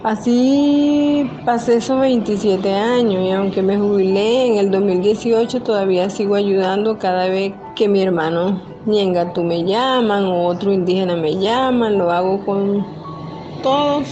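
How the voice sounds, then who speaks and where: An older woman tells her own story as a voice-over, calm and measured, from the Venezuelan Amazon. Her pitch sits in a plain middle register. The recording is a little dull and lo-fi, with music clearly playing beneath her. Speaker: retired nurse. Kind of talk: scripted first-person narration.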